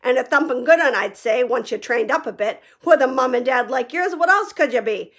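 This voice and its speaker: Booming, blustering giant groundskeeper, gruff man, higher and louder than plain narration as a woman reads him. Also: animated, brisk, exaggerated voice. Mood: hearty. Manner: booming and indignant. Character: huge gruff male groundskeeper, blustering and hot-tempered. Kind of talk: storybook reading.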